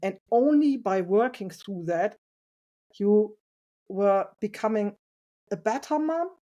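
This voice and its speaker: A woman talking as a podcast guest about parenting, earnest and reflective, with a loud voice and light laughs. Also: medium pitch, animated, takes it slowly. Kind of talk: casual interview conversation.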